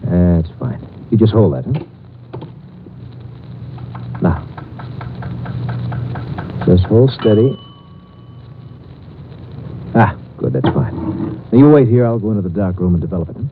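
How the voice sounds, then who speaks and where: An actor playing a gentle, chatty ship's doctor in a 1950s radio drama. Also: male voice, on the low side, expressive, unhurried, pauses often. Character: kindly ship's doctor, fussy. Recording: tinny noisy 1950s radio broadcast.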